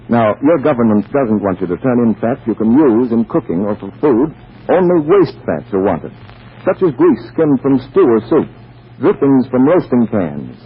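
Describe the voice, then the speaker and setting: A man's voice: medium pitch, breathy edge. A man with an American accent announcing earnestly and emphatically, reading a scripted 1940s radio appeal. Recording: tinny, thin 1940s radio recording.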